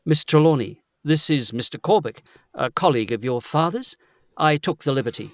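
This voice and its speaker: An actor playing a polite, composed gentleman and family friend in an audio drama, formal in manner. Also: on the high side, lively intonation, moderate pace, slight background noise. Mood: courteous.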